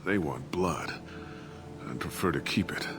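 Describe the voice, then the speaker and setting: Deep-voiced disgraced captain, self-justifying. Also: male voice, measured pace. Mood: evasive, wry. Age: middle-aged. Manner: defensive, rationalizing. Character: disgraced, self-justifying ship captain, evasive aging father. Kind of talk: dramatic video game voice acting. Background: cinematic background music.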